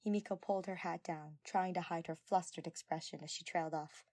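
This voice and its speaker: Woman reading a story out loud, narrator style, really dry close sound. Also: fairly low pitch, steady tone, fairly quick, no room sound. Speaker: podfic narrator.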